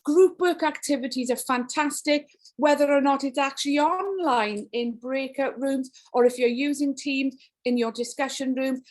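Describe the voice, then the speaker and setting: High-voiced enthusiastic woman. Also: moderate pace. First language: Welsh. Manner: enthusiastic, rhetorical questions, animated emphasis. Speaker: guest speaker to educators. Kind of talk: online teaching presentation. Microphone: video call.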